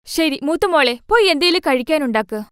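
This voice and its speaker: A woman's voice: high voice, lively intonation, fairly quick, fairly bright tone, dry recording, clean studio sound. A woman voicing a stern, traditional mother-in-law in a children's story, scolding and bossy, with emphatic delivery. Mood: angry, scolding.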